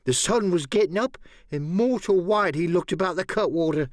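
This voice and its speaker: A man voicing an excitable, half-crazed old male sailor marooned on an island, rambling in old sailor's slang. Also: high-pitched, moderate pace, dry recording, studio quality, pitched above the performer's own voice, natural voice. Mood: confiding. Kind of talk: audiobook character voice.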